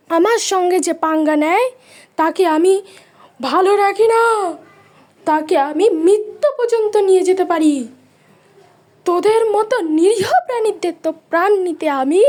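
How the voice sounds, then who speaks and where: A woman's voice: very high-pitched, a little mellow. A woman voicing a menacing, taunting witch ghost who devours travellers in a cartoon story. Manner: threatening, taunting, scolding.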